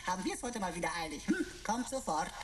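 A woman's voice: a little high, very animated, normal speed, a bit bright, a little hiss or hum. A woman playing a cheeky talking pocket computer in a German radio play, flowery, ironic and mock-formal. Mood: officious, flowery.

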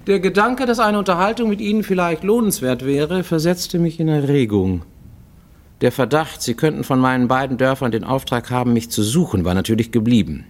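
A man's voice: mid-range voice, animated, fairly quick, close to an everyday voice. An actor playing a wary, greying Japanese man in hiding abroad, confiding in a formal, insistent way in a radio play monologue. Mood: agitated, cautious. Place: studio radio production.

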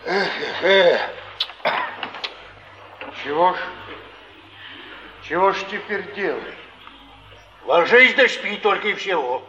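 A man's voice: sits high, animated, slow pace, long pauses, bright, forceful tone, heavily acted. Echoey, blunt flophouse man. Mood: blunt, practical. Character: gruff, practical male flophouse dweller, matter-of-fact. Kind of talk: naturalistic stage acting, 1950s Soviet theatre. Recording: muffled, noisy, echoey theatre recording.

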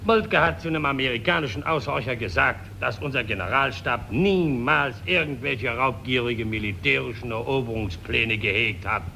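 An actor playing an earnest, self-righteous German nationalist, declamatory, in a 1940s radio play. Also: male voice, medium pitch, moderate pace, gravelly, hardly any pauses, sharp tone. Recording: faint hiss, old 1940s radio recording.

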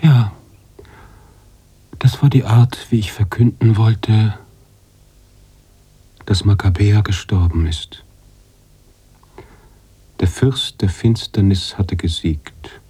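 An actor playing an anguished, philosophical writer-narrator in a literary radio drama, halting and searching, slipping at times toward a whisper. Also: male voice, on the low side, mellow, a little hiss or hum. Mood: haunted, awed. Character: brooding male writer-narrator, anguished, philosophical, mourning his heroine.

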